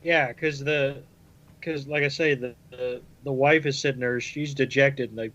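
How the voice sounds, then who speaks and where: A man's voice: medium pitch, fairly even intonation, moderate pace, a bit bright, lo-fi sound. A man on a podcast panel chatting and joking casually in a blunt, sweary way.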